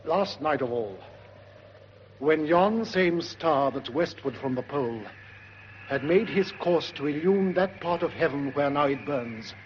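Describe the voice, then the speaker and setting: An actor playing an earnest, uneasy night sentry in 1940s British radio Shakespeare, with formal theatrical verse delivery. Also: male voice, fairly high pitch, expressive, a little slow. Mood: earnest, storytelling. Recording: muffled, noisy 1940s radio recording.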